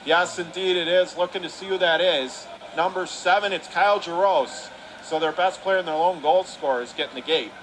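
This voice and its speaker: Guy doing hockey radio play by play, pretty high voice, excitable, some rink noise behind him. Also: normal speed, poor audio. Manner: excitable, clipped phrasing. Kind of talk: live ice hockey play-by-play commentary. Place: commentary position at an indoor ice rink.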